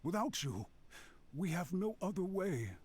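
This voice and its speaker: A man's voice: high voice, sing-song, unhurried, darkish tone, husky, exaggerated voice. A man playing an anxious, contrite official in an anime game, speaking formally and deferentially, as if pleading. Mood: pleading, earnest. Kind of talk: scripted anime game voice acting.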